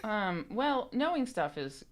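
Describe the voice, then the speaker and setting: A woman's voice: medium pitch, sing-song, slow pace. A woman co-hosting a podcast, explaining things in an informal, rambling, self-deprecating way. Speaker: podcast co-host and co-writer. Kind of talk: casual explanatory chat. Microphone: podcast microphone.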